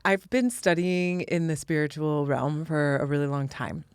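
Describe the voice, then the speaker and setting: A woman's voice: deep voice, normal speed, clean tone, dry recording. An American woman from the Midwest speaking as a podcast guest, reflective and earnest with a playful, casual streak. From Indiana, US Midwest. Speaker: podcast guest, spiritual teacher. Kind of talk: reflective conversational interview.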